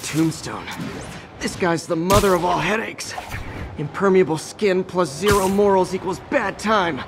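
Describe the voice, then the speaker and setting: A man's voice: high-pitched, measured pace, music in the background. A man playing a young adult American superhero in a video game, wisecracking and earnest, often talking to himself. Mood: musing. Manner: quippy, self-talking. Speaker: game protagonist.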